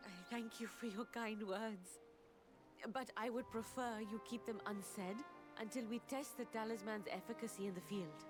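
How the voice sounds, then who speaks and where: An actress playing a poised, earnest senior researcher in a video game, with formal, precise, composed speech. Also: female voice, mid-range voice, natural voice. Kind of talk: dramatic video game acting.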